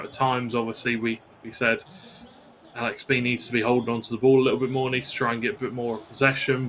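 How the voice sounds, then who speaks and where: A man's voice: medium pitch, a bit bright, slight background noise, rough recording. A man working as an esports co-commentator, analysing football video game matches during live commentary.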